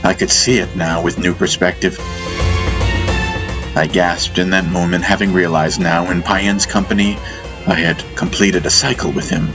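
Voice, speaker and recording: Male audiobook narrator, very low register. Solemn, dramatic fantasy storytelling over a clear music bed. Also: little pitch movement, moderate pace.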